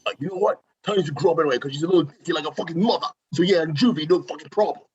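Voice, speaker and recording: Man playing a fast, husky, bitter convict hitman. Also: fairly high pitch, darkish tone, highs cut off. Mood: furious. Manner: combative, profane, blunt. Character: bitter, foul-mouthed imprisoned hitman and reluctant father. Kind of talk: amateur script table read.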